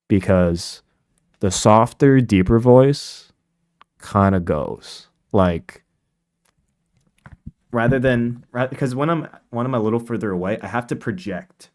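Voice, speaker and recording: A man live streaming in casual, informal chat, repeating himself excitably and swearing now and then. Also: fairly low pitch. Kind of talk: casual livestream chat, commenting on own video. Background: quiet lo-fi music.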